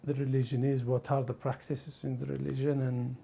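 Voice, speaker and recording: A man from the Middle East or North Africa talking casually and reflectively, haltingly searching for words. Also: medium pitch, level tone, vocal fry, dark tone, breathy edge. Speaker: documentary interviewee.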